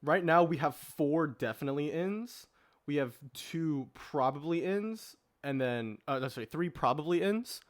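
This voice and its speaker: Animated man hosting a casual panel. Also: a little high, a little slow. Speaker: podcast host.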